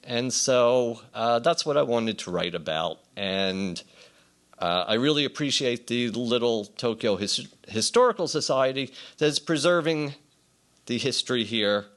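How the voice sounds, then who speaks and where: A middle-aged or older man giving an informal acceptance speech as a writer, telling anecdotes conversationally with hesitant pauses. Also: medium pitch, animated, fairly bright tone.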